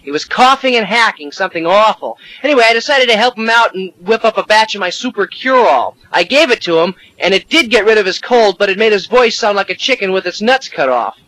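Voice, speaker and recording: Man with an extremely high, lively voice, excitable skit host. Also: fairly quick, hardly any pauses, dry recording, poor audio. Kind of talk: comedy audio skit.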